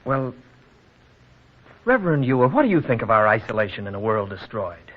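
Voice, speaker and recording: A man's voice: mid-range voice, sing-song, brisk. A man playing a troubled, earnest young townsman with a formal manner in a 1940s radio drama. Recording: muffled, noisy vintage radio recording.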